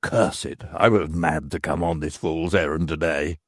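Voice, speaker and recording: A reader voicing a highwayman captain who speaks in low, clipped tones and curses under pressure. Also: fairly even intonation, moderate pace, no room sound, studio quality. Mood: grim. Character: desperate highwayman captain, curt and cursing.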